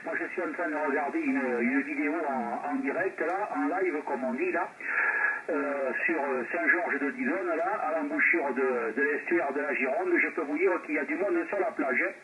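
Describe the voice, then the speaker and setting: A man's voice: a little high, moderate pace. A man chatting casually and courteously over two-way radio as an amateur operator, through a tinny, noisy, distant transmission. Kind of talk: casual radio contact chat.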